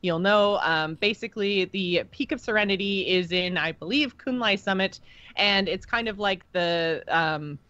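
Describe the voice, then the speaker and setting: A woman's voice: medium pitch, normal speed, bright, forceful tone, no room sound, dull audio. A woman co-hosting a podcast, talking through game lore in a chatty, informal, enthusiastic way. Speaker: podcast co-host. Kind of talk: casual discussion of video game lore.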